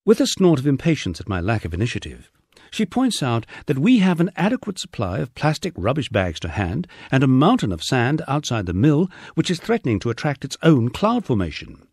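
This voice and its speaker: A man's voice: mid-range voice, wildly swooping pitch, a little fast, darkish tone, breathy edge, dry recording, studio quality. A man narrating a scripted first-person memoir as an audiobook, in a wry storytelling manner.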